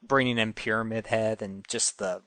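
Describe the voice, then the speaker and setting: A man's voice: on the low side, steady tone, moderate pace, a bit bright, no echo. A man co-hosting a podcast, chatting casually and informally. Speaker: podcast co-host.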